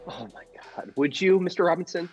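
Man playing timid henpecked husband, radio comedy, nervous stammer, swooping pitch, dull recording with music behind. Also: expressive, brisk. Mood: grateful, awed. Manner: stammering, flustered, fussily polite and formal. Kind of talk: old-time radio comedy play acting.

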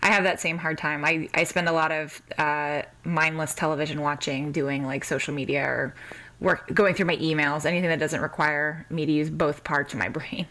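A woman hosts a podcast in a casual interview style. Her voice sits slightly low for a woman but is very bright. She is chatty and effusive. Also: fairly even intonation, moderate pace. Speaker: podcast host.

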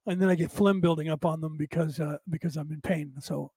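Raspy, hoarse male host with a high voice, rambling, emphatic, talking straight to his audience. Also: fairly even intonation, fairly quick, a little mellow, no echo. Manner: rambling, emphatic, addresses listeners directly. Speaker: show host. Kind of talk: late-night spiritual talk show monologue.

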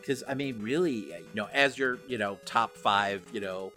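A man's voice: on the low side, normal speed, pushed and bright, faint music behind. A man co-hosting a casual pop culture podcast, talking conversationally with lots of "like" and "you know". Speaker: podcast co-host.

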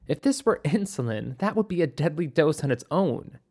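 A composed woman doctor as a narrator acts her out in an audiobook story. She speaks in a thoughtful, precise way, observant and measured, with a wry playful edge. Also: deep voice, animated, mellow, slightly rough, exaggerated voice. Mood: clinical, assured.

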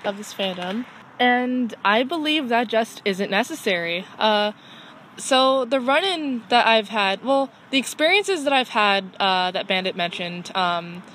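Young woman, somewhat high voice, earnest, rambling, casual chat. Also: fairly bright tone, a little hiss or hum. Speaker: panel guest in a fan discussion.

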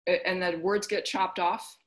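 A woman's voice: on the low side, flat delivery, normal speed. A woman hosting a podcast, chatting casually with a guest and laughing often. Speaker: podcast host. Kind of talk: casual interview chat.

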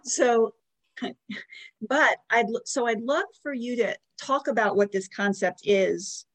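A woman's voice: a little high, takes it slowly, fairly bright tone. An American woman in her forties hosting a podcast, interviewing in a chatty, affirming, conversational way. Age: 40s. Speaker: podcast host.

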